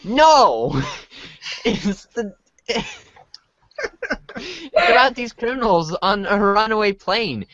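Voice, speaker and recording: A woman's voice: mid-range voice, lively intonation, takes it slowly, long pauses, fairly bright tone, no echo, lo-fi sound. A woman playing a goofy, earnest young boy who is an enthusiastic movie fan, in amateur readers' theater. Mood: defensive, enthusiastic.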